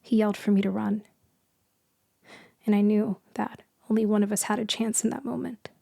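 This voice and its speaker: Soft, low-key woman survivor with creaky voice, acted. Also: fairly even intonation, no room sound, clean studio sound. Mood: grieving. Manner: halting, hushed, dramatic pauses. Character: shaken, grieving woman survivor recounting a shipwreck. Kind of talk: intimate storytelling ASMR roleplay.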